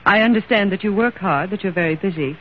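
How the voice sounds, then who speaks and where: An actress playing a lonely, discontented wife in an old-time radio drama, restrained and wounded in delivery. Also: female voice, mid-range voice, quick pace, close to an everyday voice. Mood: bitter, wounded. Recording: tinny, noisy old radio recording.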